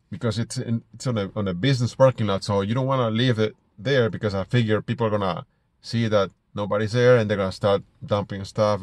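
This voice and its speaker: A middle-aged man from Ecuador with an Ecuadorian Spanish accent, telling stories casually to camera in a halting way, repeating words as he goes. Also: lowish voice, no echo. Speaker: small business owner vlogger. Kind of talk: casual personal storytelling to camera. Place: inside a parked car.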